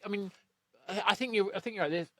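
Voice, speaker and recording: A man's voice: sits high, quick pace, bright, forceful tone, no echo, studio quality. A man hosting a podcast, chatting casually and often repeating words as he thinks. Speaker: podcast host. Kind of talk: casual interview chat.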